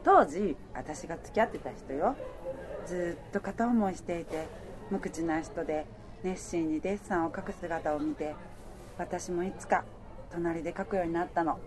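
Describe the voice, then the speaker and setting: A woman's voice: lowish voice, moderate pace, lightly acted. A woman playing a level-headed heroine in her thirties who also narrates her romance in a Japanese radio drama. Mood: wistful.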